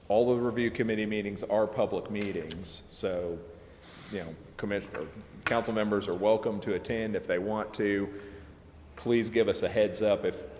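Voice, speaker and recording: A man explaining procedure at a public meeting in an informal, halting, hedging way, like an adviser to a council. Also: lowish voice, a little mellow. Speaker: legal adviser to a city council. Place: indoor council chamber. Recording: echoey, reverberant council chamber with background noise.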